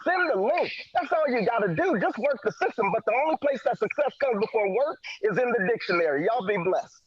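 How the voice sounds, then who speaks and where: A man's voice: very high voice, animated, fairly quick, slightly rough. A man with a stutter giving an emphatic, preacher-like motivational sales talk as a guest speaker. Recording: dull lo-fi online call audio.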